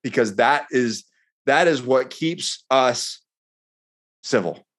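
A man speaking as a podcast guest in earnest conversation, building his points haltingly by restating them. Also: mid-range voice. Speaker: podcast guest, military veteran. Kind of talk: earnest conversational political talk.

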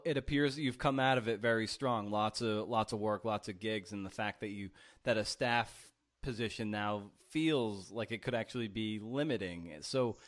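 Lowish-voiced male interviewer. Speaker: podcast interviewer and host. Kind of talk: conversational interview questioning.

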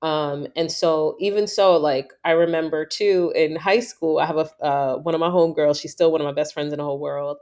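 A woman from New York hosting a casual conversation, reflective and thoughtful, with many fillers and lively storytelling. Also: sits low, a little fast, hardly any pauses.

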